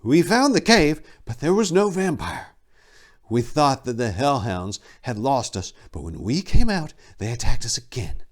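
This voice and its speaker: Guy reading as a furious traumatized monk fighter, loud and strained high, bitter, dark fantasy storybook. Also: sing-song, a little slow. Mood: strained, resentful. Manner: anguished, straining, bursting into shouts. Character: traumatized warrior monk, resentful, barely containing rage.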